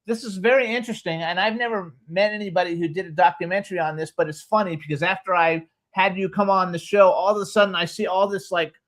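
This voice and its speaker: A man with a high voice hosting a celebrity talk show. He gushes and exclaims over his guest in loose, chatty talk. His questions come out friendly and effusive. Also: steady tone, fast, hardly any pauses, a bit bright. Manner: gushing, exclamatory. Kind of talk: casual interview chat.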